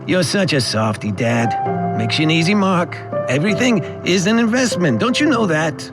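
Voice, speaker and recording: Man playing emotional, high-voiced grown son. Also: lively intonation, moderate pace, over loud music, lightly acted. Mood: teasing. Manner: pleading, emotional delivery. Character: grieving adult son, contrite and emotional. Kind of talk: audio drama dialogue.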